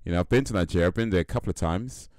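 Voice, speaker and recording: Deep-voiced laid-back man, dry sound. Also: very fast, darkish tone, dry recording. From United Kingdom. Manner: laid-back, laughing, lots of fillers and repeats. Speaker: livestream host. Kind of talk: casual chat with stream viewers.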